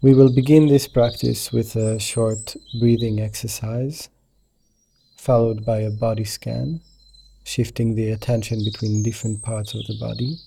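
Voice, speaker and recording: A man leading a guided meditation as a teacher, speaking in a gentle, soothing, unhurried manner. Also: fairly low pitch, flat delivery, gravelly, slight background noise. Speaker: meditation teacher guiding yoga nidra.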